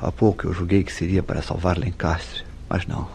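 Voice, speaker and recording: A guilt-racked Brazilian killer in an old-style radio soap. His voice sits low, with passionate, formal and emphatic delivery that can turn bitter. Music plays behind him. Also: flat delivery, a little fast, gravelly, a little mellow, a little hiss or hum. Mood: desperate, confessing. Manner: theatrical, formal, emphatic diction. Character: tormented, guilt-ridden male killer, passionate, bitterly sarcastic lover. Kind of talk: old-fashioned melodramatic radio drama acting.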